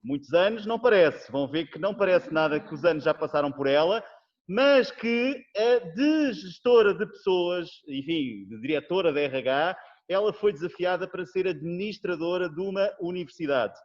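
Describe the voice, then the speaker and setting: A man hosting a web conference, moving quickly between speakers with jokes. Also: sits high, expressive. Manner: jokey, quick to hurry speakers along. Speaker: conference host. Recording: muffled web-call audio.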